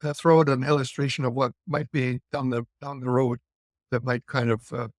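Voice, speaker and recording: A man's voice: medium pitch, little pitch movement, normal speed, dry recording. Explanatory man with fillers, dry sound. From Canada. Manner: thoughtful, explanatory, frequent fillers and restarts. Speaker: guest immigration commentator. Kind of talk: conversational discussion.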